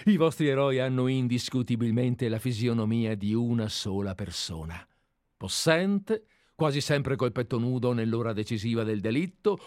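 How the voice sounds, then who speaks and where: A man's voice: medium pitch, a little mellow, no echo, clean studio sound. A man reading aloud as an obsessive, deferential admirer who has tracked a writer for years, in a dramatized radio play reading. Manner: earnest, deferential, methodical. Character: obsessive, fawning male admirer-sleuth. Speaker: reader.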